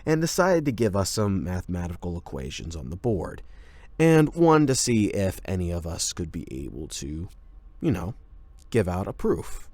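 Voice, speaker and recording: Man playing a low-voiced cocky teenage delinquent. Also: very animated, moderate pace, darkish tone, no echo, heavily acted. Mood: smug, amused. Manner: sardonic, irreverent, casual slangy ranting. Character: cocky rebellious male delinquent high schooler, tsundere. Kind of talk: anime boyfriend audio roleplay, conversational.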